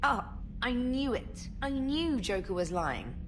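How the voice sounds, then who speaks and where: A woman's voice: on the high side, big pitch swings, unhurried, background noise. An actress playing a polite, slightly nervous female military communications specialist in a video game. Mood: embarrassed. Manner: polite, formal, slightly flustered. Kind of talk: video game character dialogue.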